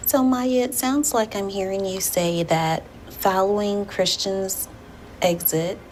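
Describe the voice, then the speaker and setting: A woman speaking as a therapist in a session, with deliberate, formal phrasing. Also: mid-range voice, fairly even intonation, darkish tone, soft background music. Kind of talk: measured counseling session talk. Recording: clear background room noise.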